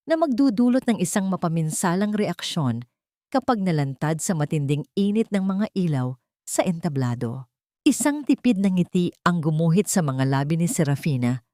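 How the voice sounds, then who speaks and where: Low-pitched woman narrating drama, dry sound. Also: darkish tone, no echo. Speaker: storyteller, narrator. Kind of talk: scripted dramatic audio-story narration.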